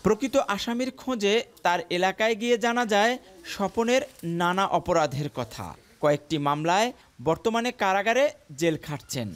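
A man narrating a television news report in a measured way, with sentences that fall at the end. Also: sits high, expressive, a bit bright, smooth. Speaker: field reporter.

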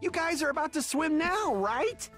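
A woman plays a cheeky young guy from a video game, high-pitched and very lively in tone. He exclaims in disbelief and gets excitable, over faint music. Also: moderate pace. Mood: incredulous.

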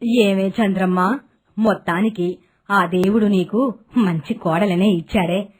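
Woman acting old village lady, husky voice, grateful, blessing manner, moral story dialogue. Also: mid-range voice, measured pace, natural voice.

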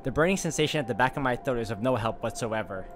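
A man's voice: mid-range voice, lively intonation, quick pace, noisy background. A man narrating a visual novel aloud, breaking off for wry comments, with game music playing. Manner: wry, quips between narration. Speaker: game streamer.

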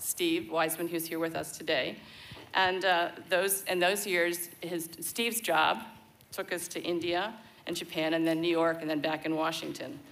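An American woman in her sixties gives a measured, reflective personal speech at a podium microphone on an outdoor festival stage. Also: fairly low pitch, fairly bright tone, rough recording. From born in Denmark, raised in the United States. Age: 60s. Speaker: guest speaker at a celebration. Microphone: podium mic on a stand.

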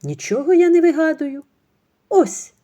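A woman voicing an angry young wife who speaks firmly and in measured, clipped phrases. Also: high-pitched, animated, mellow, highs cut off, pitched above the performer's own voice. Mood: cold.

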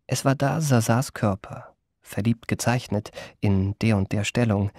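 An actor playing a worldly, amorous memoirist narrating a radio drama, husky and gravelly, with ornate, savouring delivery. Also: male voice, on the low side, unhurried, darkish tone, dry recording, clean studio sound. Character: worldly, amorous male memoirist recalling his adventures.

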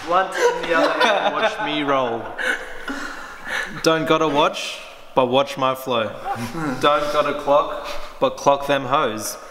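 A man's voice: on the high side, lively intonation, slow pace, pauses often, crowd noise. A young man casually reciting rap lyrics as if telling a story to friends. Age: young adult. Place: large living room indoors. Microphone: camera a few steps away. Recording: very echoey room, loud noise.

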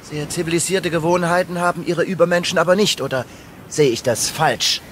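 An actor playing a skeptical investigator who questions the scientists in a sardonic, probing way. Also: male voice, on the high side, a little fast, fairly bright tone, slight background noise, close to an everyday voice. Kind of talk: radio play acting.

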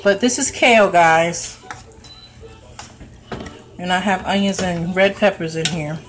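A woman's voice: on the low side, measured pace. Jersey lady cooking at home on a phone livestream, chatty, talks to viewers as she stirs, faint music behind. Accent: New Jersey. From New Jersey. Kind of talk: casual cooking livestream chat. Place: home kitchen. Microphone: phone held over the stove.

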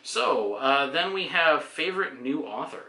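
A man in his forties talking casually about books as a co-host, in a halting, wry way. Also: fairly high pitch, lively intonation, pushed and bright. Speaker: book vlogger, co-host. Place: small room at home. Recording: roomy home video sound.

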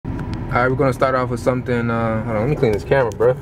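A man's voice: medium pitch, steady tone, racing, noisy background. A man chatting casually and slangily to a handheld camera in a truck cab, in a laid-back way. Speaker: car audio vlogger. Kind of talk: casual vlog chat. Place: inside a pickup truck cab.

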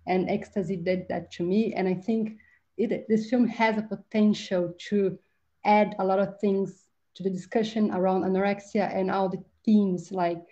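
Woman, 30s, film director, earnest, halting, mellow tone, dull video call audio. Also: mid-range voice, fairly even intonation. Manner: earnest, halting, restarts phrases. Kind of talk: online panel discussion. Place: home video call, virtual library background. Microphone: webcam mic on video call.

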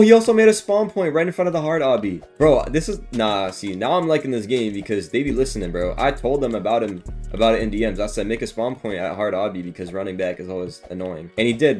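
Casual, slangy male gamer over music. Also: on the low side. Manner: slangy, animated, easily amused. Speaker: gaming video creator. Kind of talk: casual excited gameplay commentary. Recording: background music behind the voice. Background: game music and effects.

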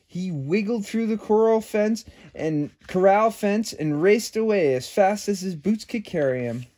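Guy doing story time for kids, voice a bit high, expressive reading w/ casual asides. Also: a little slow. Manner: speaks to children, expressive storytelling. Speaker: children's story time host. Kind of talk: picture-book read-aloud with casual asides.

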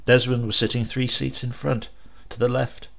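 Male storyteller, mid-pitched with a creaky, gravelly edge, for dramatic horror narration with weighted pauses. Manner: theatrical, expressive reading with deliberate drawn-out pauses. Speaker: audiobook narrator.

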